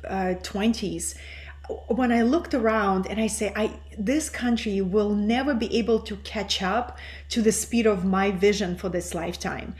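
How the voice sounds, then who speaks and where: A middle-aged woman from Russia, whose first language is Russian, speaking as an interview guest in an earnest, measured, teacherly way. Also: mid-range voice. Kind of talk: reflective interview talk.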